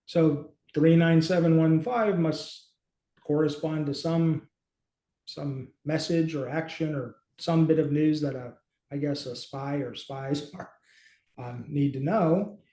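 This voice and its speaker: Echoey, bright-voiced man thinking aloud. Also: a little high, slow pace. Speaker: host and app company team member. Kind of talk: casual screen-share walkthrough. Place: small indoor room, video call. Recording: roomy, echoey home room.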